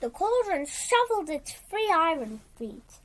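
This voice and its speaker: A woman reads a children's storybook aloud in a high voice with lively, swinging intonation. She takes her time and moves haltingly through the sentences. She often repeats or corrects a word. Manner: halting, repeats and corrects words while reading. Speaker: storybook reader.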